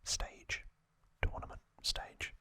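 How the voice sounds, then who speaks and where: A man whispering an ASMR trivia recap in a breathy, unvoiced voice, gently and in short, drawn-out phrases. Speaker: ASMR host.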